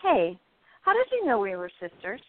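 Hesitant, easily rattled actress character, woman performer, radio play, animated pitch, phone-like recording. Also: normal speed, dry recording. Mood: flustered, shy. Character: hesitant, discouraged young actress, easily flustered. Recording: tinny phone-like lo-fi audio.

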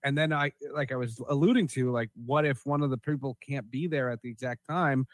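A man hosts a casual podcast discussion in a mid-pitched voice. He speaks in a halting, conversational way, doubling back on words, and the sound is dry and close. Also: no echo. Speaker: podcast host.

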